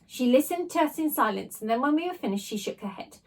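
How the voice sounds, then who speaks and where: A woman teacher reading a children's storybook aloud with expressive narration. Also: high voice, fast talker.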